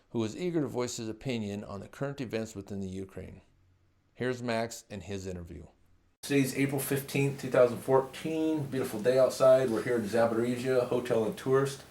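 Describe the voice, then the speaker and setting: A man's voice: medium pitch, a little mellow. A man narrating his own documentary and then interviewing a guest with plain, straightforward questions.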